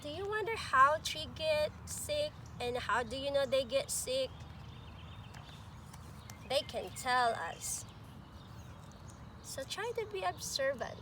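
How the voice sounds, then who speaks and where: Woman, pre-K storytime reader: high voice, expressive, pauses for questions to little listeners, noisy amateur recording. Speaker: pre-K teacher reading aloud to children. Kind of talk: expressive picture-book read-aloud with asides.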